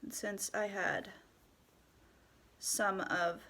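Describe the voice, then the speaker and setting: A woman with a stuffy nose from a cold, calmly talking through a step-by-step tutorial and often dropping to a soft whisper. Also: medium pitch. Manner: calm, unhurried, often drops to a soft whisper. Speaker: head wrap tutorial host.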